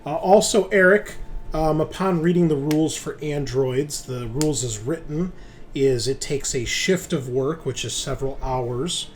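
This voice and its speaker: A man's voice: fairly high pitch, unhurried, faint music behind. A man acting as game master, explaining things informally in a casual tabletop roleplaying session.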